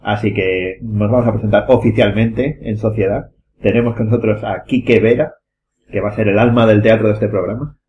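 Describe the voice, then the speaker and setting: A man with a fairly low voice and a Spanish accent from Spain. He speaks as the host of an informal podcast, steering a casual chat among friends. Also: steady tone, a little fast, lo-fi sound.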